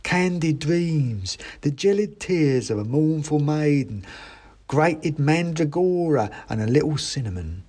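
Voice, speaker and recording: A man's voice: on the high side, lively intonation, measured pace, a little mellow, voice pushed up. A man voicing a cheery male mouse market trader, calling out a coaxing sing-song patter.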